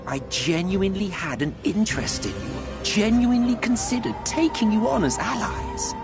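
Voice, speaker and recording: An actor playing an ancient, scornful immortal sorcerer in a video game, theatrical and condescending, with a drawn-out sardonic delivery. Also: male voice, high voice, lively intonation, over music. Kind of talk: dramatic video game voice acting.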